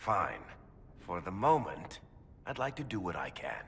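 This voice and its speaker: Casting: indignant, sardonic game rogue, forceful delivery, reverberant hall with some noise. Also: male voice, low-pitched, wildly swooping pitch, measured pace, creaky, exaggerated voice. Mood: bitter, sardonic. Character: defiant, loyal rogue storyteller in fantasy game. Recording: echoing hall with background noise.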